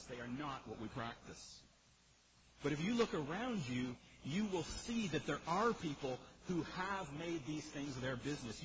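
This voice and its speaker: A man preaching an expository sermon in a powerful voice, emphatic yet conversational, putting questions straight to his listeners. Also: a little high. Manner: emphatic, conversational, rhetorical questions and direct address. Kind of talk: sermon, expository teaching to a congregation. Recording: muffled sound with clear background noise.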